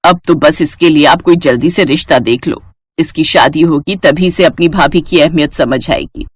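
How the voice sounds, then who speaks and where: A woman's voice: low voice, normal speed, breathy edge, no echo, clean studio sound, pitched below the performer's own voice. A woman voicing an older mother-in-law in a family story, a firm, matronly head of the household who gives instructions. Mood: firm, instructing.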